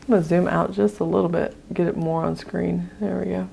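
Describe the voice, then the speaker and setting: A woman with a low, gravelly voice that is hoarse. She chats casually through her budget sheets as a channel host and fills her pauses with ums while she writes. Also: little pitch movement, dark tone, slight background noise. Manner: chatty with frequent ums, talking while writing.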